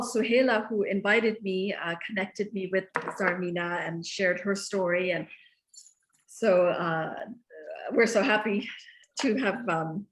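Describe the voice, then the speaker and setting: A woman hosts a charity's video call, speaking in a friendly, relaxed way with frequent fillers and curious questions. Her pitch is middling, and the recording comes from a roomy, echoing space with a faint noise underneath. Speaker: host from the charity. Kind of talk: casual video call chat.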